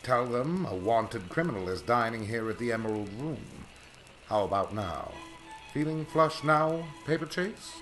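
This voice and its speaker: An actor playing a smooth, sinister, cursed aviator and jilted lover in an old-time radio drama, speaking in a suave, theatrical way. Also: male voice, mid-range voice, animated, moderate pace, fairly bright tone, faint music behind, natural voice, poor audio. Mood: mocking.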